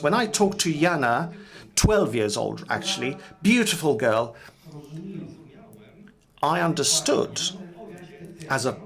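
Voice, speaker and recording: A man interpreting a panel speech live, speaking in measured, broken phrases with pauses and self-corrections. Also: on the high side.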